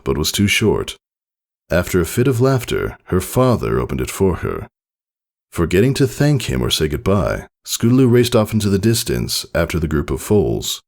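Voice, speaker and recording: Low-pitched, animated male story narrator. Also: creaky. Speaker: narrator reading fan fiction. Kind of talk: scripted audiobook-style narration.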